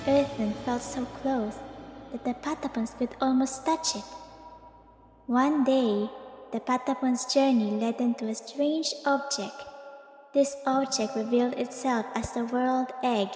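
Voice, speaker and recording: A woman reading scripted narration of a fantasy legend in storybook style. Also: on the high side. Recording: echoey long reverb, music behind. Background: music.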